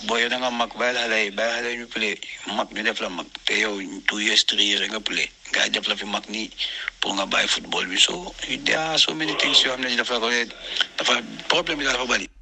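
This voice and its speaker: Quick, low-voiced man over clear noise. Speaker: discussion participant. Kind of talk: casual spoken opinion on a topic. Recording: clearly noisy background.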